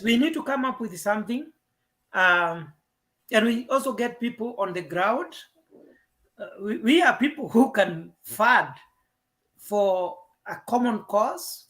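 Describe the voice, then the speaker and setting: A woman's voice: on the high side, a bit bright, rough recording. A Kenyan woman with a Kenyan accent in an earnest political discussion, mixing English and Swahili. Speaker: panelist.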